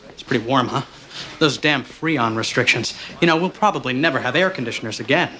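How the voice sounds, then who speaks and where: An actor playing a blustery police captain, eager to please, in a television drama. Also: male voice, mid-range voice, a little fast, sharp tone, lightly acted, poor audio. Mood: hearty, official.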